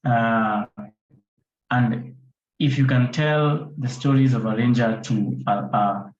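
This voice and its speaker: A man's voice: medium pitch, takes it slowly. A man with a Kenyan accent explaining his approach as a panelist, repeating points for emphasis and posing rhetorical questions. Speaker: conservation photographer and filmmaker, panelist. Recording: dull, roomy online-call audio.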